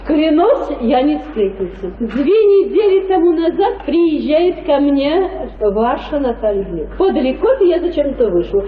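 An actress playing a scheming, gushing middle-aged provincial society mother in a radio play, theatrical and emphatic. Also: high voice. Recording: echoey, phone-like radio drama recording.

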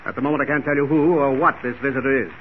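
An actor playing an indignant aviation boss with a pompous, formal, blustering manner in 1940s radio style. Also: male voice, medium pitch, steady tone, very fast, close to an everyday voice. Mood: indignant, commanding. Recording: tinny, hissy 1940 radio recording.

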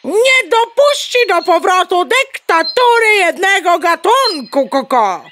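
A woman's voice: very high-pitched, sing-song, slow pace, a bit bright, a little hiss or hum, exaggerated voice. A woman voicing a bossy, worried talking hen with clucking tics in a children's radio play. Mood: earnest. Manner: scolding, emphatic, stammering clucks. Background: birdsong.

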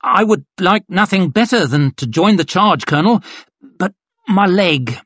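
A man's voice: sits high, lively intonation, unhurried, a bit bright, no echo, voice pushed up, exaggerated voice. A man voicing a cowardly, heavyset officer who makes fawning excuses. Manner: fawning, evasive.

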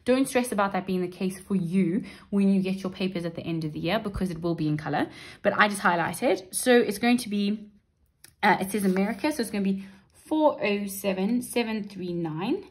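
A woman's voice: mid-range voice, lively intonation, a little fast. Chatty woman teaching maths. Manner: chatty, reassuring, checks in with "right?" and "okay?". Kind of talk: exam paper walkthrough lesson. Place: indoors at a wooden desk.

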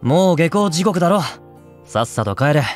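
Young male teacher, exasperated, easily flustered, anime audio drama, hugely animated. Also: fairly high pitch, normal speed, faint music behind. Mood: weary, flustered.

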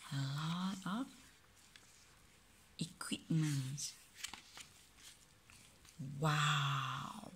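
A man with a Malaysian accent reading a picture book aloud to a child at home, soft and often half-whispered, with playful sound effects, recorded on a phone. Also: on the high side, a little hiss or hum.

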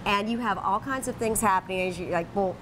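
A woman's voice: medium pitch, a little fast, fairly bright tone, poor audio. A middle-aged woman hosting a chatty promotional interview in an upbeat, encouraging way. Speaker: TV host interviewing a venue representative. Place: glass-enclosed rooftop bar. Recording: clear background noise.

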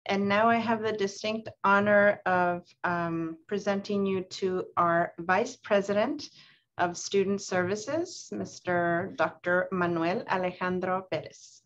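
A woman's voice: medium pitch, fairly even intonation, measured pace. A woman hosting a video call event, introducing speakers with friendly, gracious thanks. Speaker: event host. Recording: slightly dull video call audio.

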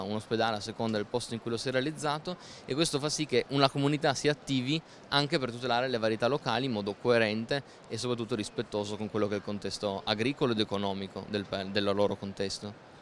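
A man's voice: mid-range voice, a bit bright. A young man giving explanatory interview answers as a spokesperson, searching for words, into a handheld microphone at a fair. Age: young adult. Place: busy indoor trade fair stand. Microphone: handheld microphone held by interviewer. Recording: clear background noise of busy fair.

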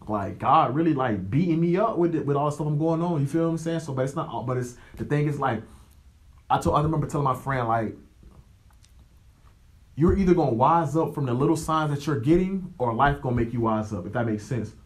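A young American man hosts a podcast alone in a mid-pitched voice. He talks loosely and conversationally in slang, giving motivational advice and often checking that the listener follows. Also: brisk. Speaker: podcast host. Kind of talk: casual motivational monologue.

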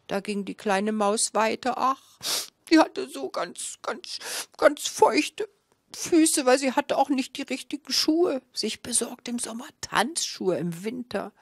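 An older woman storyteller, telling a fairy tale live in a theatrical, expressive manner into a handheld microphone. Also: on the high side, takes it slowly, no room sound, studio quality. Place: small indoor café room with a seated audience. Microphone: handheld microphone held close.

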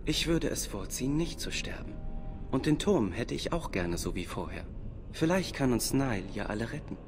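An actor playing a matter-of-fact, dutiful storeroom keeper of a mage tower in a fantasy video game. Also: male voice, medium pitch, very animated, moderate pace, music in the background. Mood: calm, resigned. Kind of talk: scripted fantasy game dialogue in German dub.

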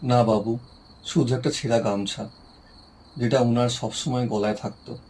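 A sorrowful village man, voiced by an actor for a cartoon ghost story. His voice is husky and hushed, and he speaks with quiet respect and old grief. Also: medium pitch, steady tone, moderate pace, close to an everyday voice. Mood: grieving. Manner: soft, heavy-hearted, respectful. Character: grieving village man Pradyut, haunted by his father's loss. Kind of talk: Bengali cartoon voice acting.

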